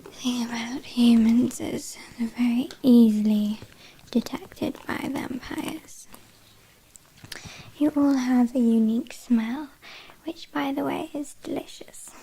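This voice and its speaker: A British woman playing a haughty, condescending vampire kidnapper in a breathy, whispered ASMR roleplay, with a dryly teasing manner. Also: a little high, fairly even intonation, warm tone, full character voice, poor audio. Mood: haughty, amused. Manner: slow, disdainful, dryly teasing.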